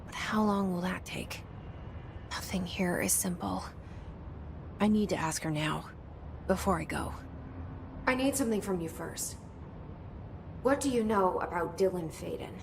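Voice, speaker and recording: A guarded young woman agent mutters her thoughts in a low, gravelly voice. Her replies come out short and clipped. A clear noise runs under the game audio. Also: unhurried, long pauses. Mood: terse. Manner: quiet inner thoughts, terse replies. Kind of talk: game voice acting.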